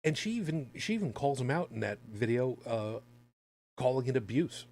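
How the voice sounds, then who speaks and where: A man from the United States co-hosting a podcast, giving casual, opinionated commentary in a halting, emphatic, sardonic way. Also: medium pitch, big pitch swings, darkish tone, dry recording. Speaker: podcast co-host.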